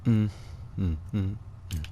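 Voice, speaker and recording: A man with a mellow, very low voice hosts a gameplay video in a slow drawl. His delivery is casual and slangy, laid-back throughout, with faint noise behind him. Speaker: gaming host.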